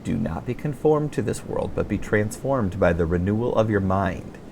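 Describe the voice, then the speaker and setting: A man voicing a stern, pious male church worship leader in an audiobook, with a measured, sanctimonious, reprimanding delivery. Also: fairly low pitch, expressive, creaky, noisy background. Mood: pious reproach. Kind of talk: audiobook character dialogue.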